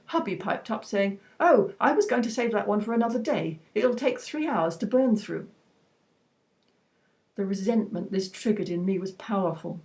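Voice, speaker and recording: A woman with a warm, mellow voice reading her own personal essay aloud. She keeps a measured, reflective pace, with a touch of dry wit. Her pitch sits in an ordinary middle range.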